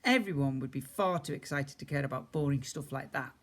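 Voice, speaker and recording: A man's voice: mid-range voice, brisk. Man reading a children's storybook expressively. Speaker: teacher reading to young children.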